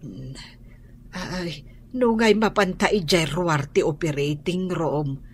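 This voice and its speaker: A woman's voice: lowish voice, slow. A woman playing an anxious, prayerful elderly grandmother in an Ilocano radio drama, sighing and drawing out her words. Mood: worried. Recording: noisy radio drama recording.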